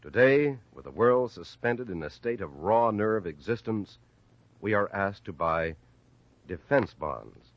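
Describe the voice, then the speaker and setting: A man's voice: lowish voice, lively intonation, measured pace, muffled recording. An American man reading a scripted 1950s radio announcement in a declamatory, emphatic way.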